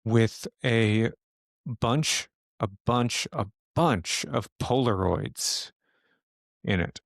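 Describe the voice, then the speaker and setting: A man narrating a tabletop horror game as game master, improvising in a halting way as he thinks aloud. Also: medium pitch, lively intonation, gravelly, dry recording, clean studio sound.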